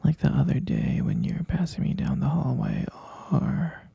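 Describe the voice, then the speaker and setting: A man playing a hesitant, concerned middle-aged stepfather in a scripted ASMR roleplay, speaking in a breathy, gravelly, halting hush. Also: mid-range voice, wildly swooping pitch, no room sound, exaggerated voice. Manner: halting, hushed, drawn-out delivery.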